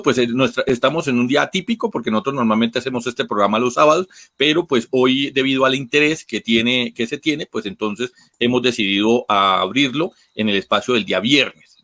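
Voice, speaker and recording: A man with a forceful, bright voice and a Bogotá accent hosts a live online talk. He speaks informally and at length, circling back over his phrases with courteous forms of address. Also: medium pitch. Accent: Bogotá Colombian. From Atlántico, Caribbean coast of Colombia. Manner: wordy, repetitive, courteous address. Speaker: host of an academic talk programme.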